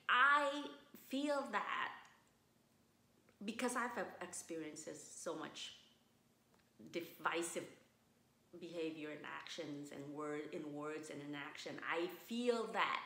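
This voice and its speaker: A woman from Vietnam, a guest in a casual interview, speaking thoughtfully with many restarts and pauses. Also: lowish voice, pushed and bright. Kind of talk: casual conversation. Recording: roomy echo.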